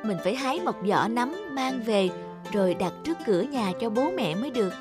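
A woman's voice: fairly high pitch, lively intonation, fairly quick, natural voice. Woman as an earnest young fairy-tale prince. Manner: respectful, earnest pleading. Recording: music under the voice.

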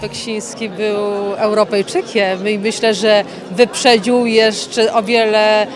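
A woman's voice: medium pitch, little pitch movement, takes it slowly. A woman politician giving interview remarks, hesitant and repeating words. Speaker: politician, member of parliament. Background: music.

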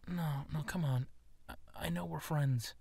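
A man playing an earnest, insecure young werewolf in an intimate ASMR roleplay, speaking softly and haltingly. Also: fairly high pitch, dry recording, exaggerated voice. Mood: tender, worried. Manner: hesitant, halting, soft-spoken with breathy whispers.

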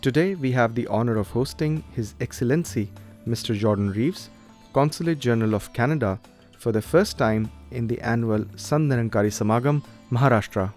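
A man's voice: medium pitch, fairly quick, darkish tone, soft background music. A man working as a news reporter, presenting scripted reports and interview questions.

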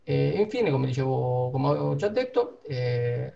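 Male host for online event intro, soft dark tone with vocal fry, informal. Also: mid-range voice, very animated, slow. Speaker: meetup host and moderator. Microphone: video call. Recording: dull video-call audio.

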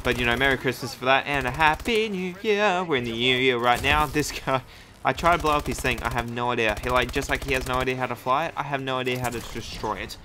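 A man's voice: medium pitch, quick pace, sharp tone, slight background noise. A young man giving casual, hyped, rambling commentary over his own gameplay. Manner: hyped, rambling, self-deprecating. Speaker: gaming YouTuber. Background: game gunfire.

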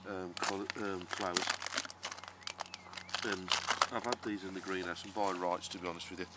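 A man giving a casual, explanatory gardening talk in a halting, unscripted way, as a vlogger would. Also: deep voice, flat delivery. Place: outdoor vegetable garden and greenhouse. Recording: clearly noisy outdoor ambience, uneven distance.

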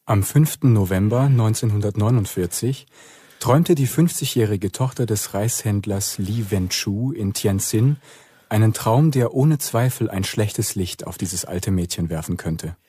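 A man performing a sober narrator in a radio play, measured and formal, with a husky voice. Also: on the low side, dark tone, no echo, clean studio sound. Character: sober male narrator of a strange dream.

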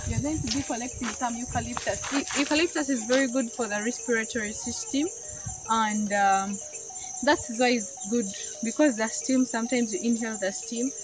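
A woman's voice: on the high side, steady tone, moderate pace, over music. A young woman, chatting casually as she shows plants in a rural field, talking into a handheld phone camera. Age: young adult. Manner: chatty, explaining plants to viewers. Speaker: vlogger. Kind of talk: casual vlog walkthrough. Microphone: phone camera, often held at arm's length.

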